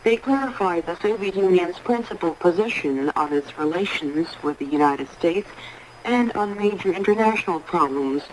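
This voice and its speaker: Female newsreader, lower register, formal and measured, 1980s shortwave broadcast with faint noise. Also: low-quality recording. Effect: shortwave radio. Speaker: radio news announcer. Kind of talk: formal scripted 1980s international radio newsreading.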